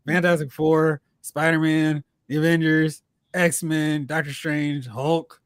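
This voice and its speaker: Man, slightly higher pitch, casual fan commentary, talk stream host, dry close sound. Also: little pitch movement, takes it slowly, smooth, no room sound. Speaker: host of a live talk stream on movies and comics.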